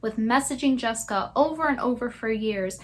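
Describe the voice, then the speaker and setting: A woman's voice: fairly high pitch, normal speed. A woman delivering scripted commentary as a YouTube commentator.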